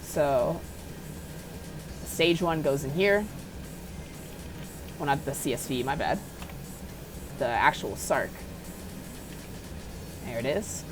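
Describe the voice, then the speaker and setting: A woman giving a casual, unedited software tutorial in a rambling, self-deprecating, apologetic way. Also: low-pitched, animated, slow pace, pauses often, music in the background. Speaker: tutorial maker.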